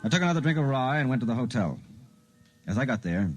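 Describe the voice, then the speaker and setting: An actor playing a weary, harried investigator in a 1950s radio drama, plain-spoken and casual. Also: male voice, mid-range voice, big pitch swings, fast talker, highs cut off, soft background music, natural voice. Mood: tired, reflective. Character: weary, harried male investigator with troubled marriage. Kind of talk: 1950s radio drama acting and first-person narration.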